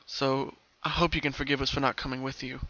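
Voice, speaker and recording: Man acting a solemn, gentle pony father. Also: medium pitch, flat delivery, brisk, dull audio, close to an everyday voice. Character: weary, gentle ghostly pony father devoted to his farm.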